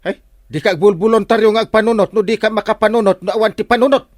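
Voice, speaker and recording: A man's voice: high-pitched, fairly even intonation, quick pace, dry recording, studio quality, close to an everyday voice. A man with an Ilocano accent playing an exasperated, grumbling village captain in a radio comedy drama.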